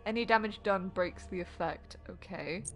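A woman's voice: lowish voice, normal speed, a bit bright. A woman with a Yorkshire accent chatting with a friend while browsing a video game, giggling, swearing and reading the game text aloud. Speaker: streamer. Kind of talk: casual chat over a video game.

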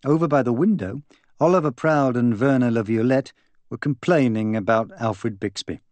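A man reading a mystery novel as an audiobook, in a measured, expressive literary manner. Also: medium pitch, dry recording. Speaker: audiobook narrator. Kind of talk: scripted narration of a mystery novel.

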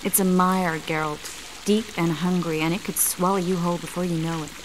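A woman playing an earnest, caring young sorceress in a video game, speaking in a measured way. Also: on the low side, fairly even intonation, background noise, natural voice. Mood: worried.

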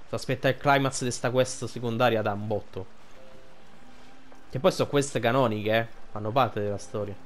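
A man's voice: medium pitch, fairly even intonation, moderate pace, a little hiss or hum. A man giving casual, informal playthrough commentary as a gaming streamer, thinking aloud.

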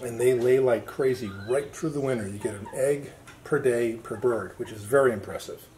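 A man speaking casually and plainly as a farmer, explaining things off the cuff in a folksy way outdoors. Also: mid-range voice. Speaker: farmer presenting how-to video. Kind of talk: casual unscripted demonstration. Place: outdoors on a farm, concrete patio and pens. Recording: noisy farm recording with chirping birds. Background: loud bird chirps, clucking, clicks.

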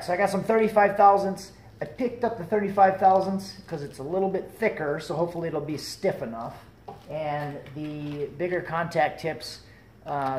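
A young man talking through a welding project in his home garage, relaxed and thinking aloud as he works, recorded on a camera mic that drifts near and far. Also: fairly high pitch, a little hiss or hum. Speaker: DIY welding vlogger. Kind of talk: casual how-to narration. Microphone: camera mic, moving between close and far.

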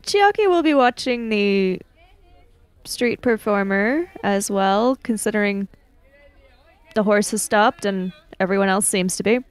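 A woman playing a tabletop roleplaying game, describing her character in a measured, matter-of-fact way. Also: mid-range voice, expressive, clean tone, no echo. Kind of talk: third-person roleplay narration.

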